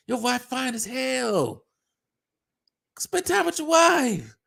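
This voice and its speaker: Male sports ranter, gravelly and going hoarse, mocking tone, pitch leaping high and low. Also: huge pitch swings, takes it slowly, fairly bright tone. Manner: mocking, scornful, pleading in jest. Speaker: sports commentator. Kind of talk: ranting basketball commentary.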